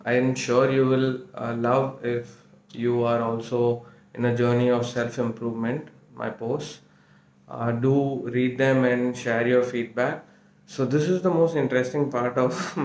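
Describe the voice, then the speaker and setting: A man with a Tamil accent vlogging casually to camera, speaking hesitantly with many fillers and restarts. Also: medium pitch. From South India. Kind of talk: casual vlog talk to camera. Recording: roomy echo.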